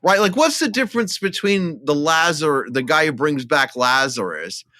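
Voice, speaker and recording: Guy podcast co-host, lively pitch swings, bright punchy voice, jokes around, casual movie talk. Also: moderate pace, no echo, clean studio sound. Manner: animated, joking, interjecting. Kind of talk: casual film discussion.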